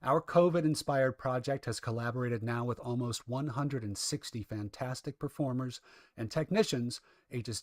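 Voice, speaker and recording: A man with a mid-pitched voice announces an old-time radio program. He reads from a script in a formal, measured way, as a host presenting a show. The sound is close and dry, with very little room in it. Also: darkish tone, no room sound.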